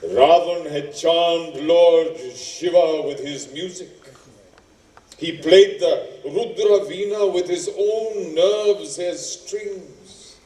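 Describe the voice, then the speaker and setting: A man performing as a solemn theatrical storyteller, recorded from far off in an echoey stage space. He tells an epic slowly and dramatically, in a slightly high voice. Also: mellow. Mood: solemn, measured. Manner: measured, dramatic delivery. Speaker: stage narrator. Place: indoor theatre stage, distant microphone.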